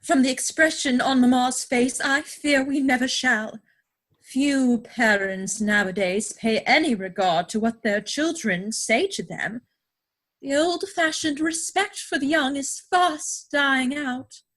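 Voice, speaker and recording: A woman's voice: sits high, unhurried, a bit bright. A woman acting a self-assured, romantic young society lady in a Victorian stage comedy, with precise, haughty declamation, recorded at home over a video call. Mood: dramatic. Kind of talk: stage comedy dramatic reading.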